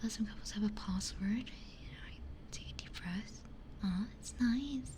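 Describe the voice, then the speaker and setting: A woman whispering softly and unhurriedly in casual ASMR chat, musing in short phrases close to a binaural microphone. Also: medium pitch, moderate pace. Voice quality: breathy whisper. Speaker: ASMR livestreamer. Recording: close-miked with faint background noise.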